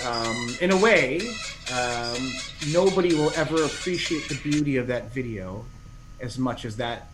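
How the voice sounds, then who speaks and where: A man's voice: medium pitch, poor audio. A middle-aged man, a podcast guest, talking casually and rambling through stories with many restarts and fillers. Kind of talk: casual interview chat.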